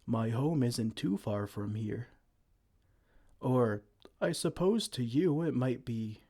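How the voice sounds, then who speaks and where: Here is a man playing a kind, gentle giant who looks after a tiny human, his voice soft and soothing with tender pet names. His tone is mellow and dark. He speaks slowly, and his pitch rises and falls warmly in this ASMR roleplay.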